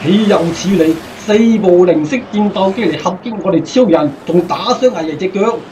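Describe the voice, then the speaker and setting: A man's voice: high voice, normal speed, a little mellow, far from the mic, slight background noise, close to an everyday voice, rough recording. A male actor playing a fiery, combative bomber gunner in a radio drama. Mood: furious, defiant.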